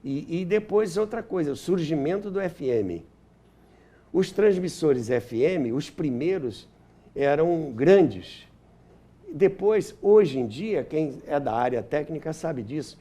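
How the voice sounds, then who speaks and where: An older Brazilian man speaks as an interview guest in an anecdotal, explanatory way. His voice sits somewhat high and is mellow, with animated intonation. He often repeats a phrase to drive it home. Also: slow. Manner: explanatory, acts out quotes, repeats phrases for emphasis. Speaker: interview guest, historian and author.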